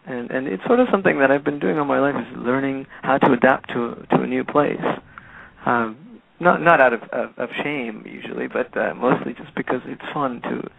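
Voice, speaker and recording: A middle-aged man from Peru whose first language is Spanish talks as a guest on a radio show. His voice is gravelly and sits at an average pitch. It comes through a thin, phone-like line, and he pauses and repeats words as he gathers his thoughts. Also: no room sound. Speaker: musician guest. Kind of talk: casual interview chat.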